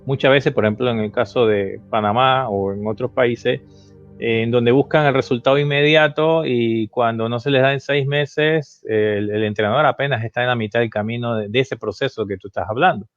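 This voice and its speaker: A man's voice: mid-range voice, moderate pace, dry recording, faint music behind. Guy hosting a coaching webinar, muffled video call sound, says sí a lot, trips over his words. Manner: affirming, stammering restarts. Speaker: host and football coach. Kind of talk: webinar interview host.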